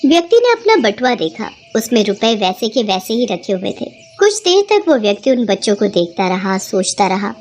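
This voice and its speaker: A woman's voice: medium pitch, very animated, moderate pace, hardly any pauses, a little mellow, slight background noise. A woman narrating a scripted children's moral story as the storyteller.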